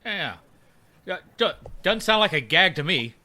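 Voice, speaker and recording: A man's voice: high voice, sing-song, a little slow, pushed and bright, heavily acted. A man playing a sheepish, joking working-class husband and technician in a radio comedy, hesitant and folksy. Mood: amused, curious. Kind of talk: old-time radio comedy acting.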